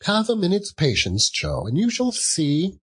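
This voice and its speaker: Storybook charwoman male reader: callous old scavenger, scornful, high-pitched and raspy. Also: wildly swooping pitch, measured pace, fairly bright tone, heavily acted. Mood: brazen, cackling. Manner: exclamatory, scornful, laughing between phrases. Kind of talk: theatrical Victorian storybook reading.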